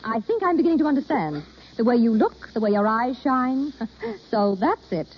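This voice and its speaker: An actress playing a shrewd, teasing best friend, in the style of golden-age radio drama. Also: female voice, on the high side, big pitch swings, moderate pace, breathy edge. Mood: teasing, knowing. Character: shrewd, probing, teasing best girlfriend of a young woman. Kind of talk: 1930s-40s radio acting. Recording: muffled vintage radio broadcast.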